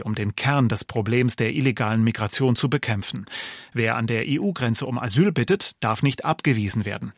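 A man reading out newspaper commentary in a measured, formal way. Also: medium pitch, no echo, studio quality. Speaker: reader of press commentary. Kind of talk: formal reading of press quotes.

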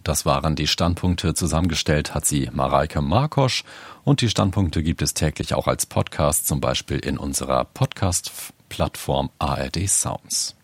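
A radio news host with an extremely deep, husky voice presents in a calm, announcer-like way. The sound is dry and close, with faint music now and then. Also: male voice, unhurried, dry recording.

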